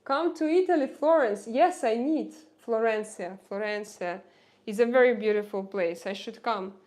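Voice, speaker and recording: A woman's voice: fairly high pitch, a little mellow. A young woman with a Russian accent, chatting casually on a live stream and reading out viewers' messages, with many hesitant fillers. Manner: halting, many "uh" fillers, reads out chat messages.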